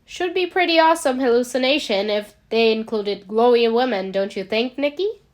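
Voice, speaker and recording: A woman's voice: fairly high pitch, lively intonation, moderate pace, natural voice. A woman voicing a sarcastic, flippant middle-aged male superhero from the future in an audiobook. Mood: teasing. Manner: wry, sardonic, flippant.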